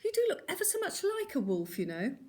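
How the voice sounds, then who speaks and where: A woman's voice: high voice, huge pitch swings, brisk, darkish tone, voice pushed up. Woman doing a huge, suspicious old lady. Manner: mock-sweet, suspicious.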